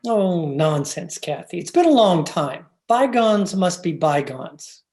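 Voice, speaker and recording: An actor playing a gentle, adoring young gentleman in a radio drama, tender, earnest and reassuring. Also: mid-range voice, big pitch swings, slow. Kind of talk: old-time radio drama acting.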